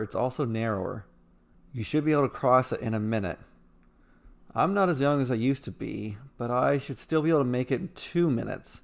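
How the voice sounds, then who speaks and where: Man reading as measured, thoughtful older adventurer. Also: medium pitch, vocal fry, natural voice. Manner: measured, explanatory. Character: thoughtful, calculating older male reluctant adventurer. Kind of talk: fantasy storytelling character voice.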